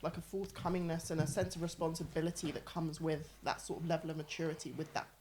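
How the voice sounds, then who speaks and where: Brief: woman, podcast guest, quick to laugh, asks pointed questions, faint background noise. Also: sits low, level tone, darkish tone. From Forest Gate, East London. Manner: laughs often, probing questions. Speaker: guest, astrology reader. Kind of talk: casual podcast chat.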